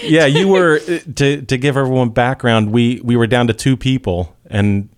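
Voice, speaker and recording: A man's voice: mid-range voice, moderate pace, clean tone. A man hosting a casual interview, asking friendly questions that start over a few times. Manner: friendly, asks rambling questions with restarts. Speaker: podcast host.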